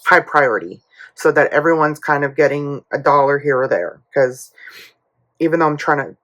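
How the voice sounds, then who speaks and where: A hoarse woman telling a rambling family story in a casual budgeting vlog, full of fillers and asides. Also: sits low, lively intonation, moderate pace, fairly bright tone. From United States. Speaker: budgeting YouTuber. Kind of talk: casual chatty vlog while cash stuffing.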